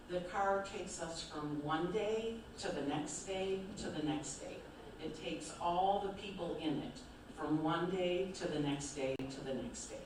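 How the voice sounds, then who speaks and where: Older midwest woman giving a talk, kinda low voice, super echoey hall, mic far off. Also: a little hiss or hum. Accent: American Midwest. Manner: chatty, informal, checks in with the audience. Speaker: author and speaker. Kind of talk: live talk to an audience. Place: large event hall. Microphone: distant room mic.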